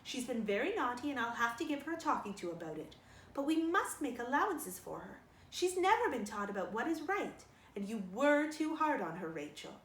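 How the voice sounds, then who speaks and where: A stern, upright middle-aged guardian from a storybook, voiced by a woman reader. She scolds in a measured, firm way with strongly moving intonation. The recording is roomy, with slight noise. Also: a little high, big pitch swings. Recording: roomy sound with faint noise.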